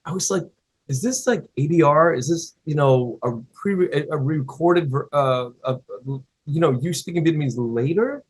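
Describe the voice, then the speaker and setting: A man's voice: fairly high pitch, normal speed, slightly rough. A man interviewing a guest in a casual chat, rambling and hesitant with many fillers.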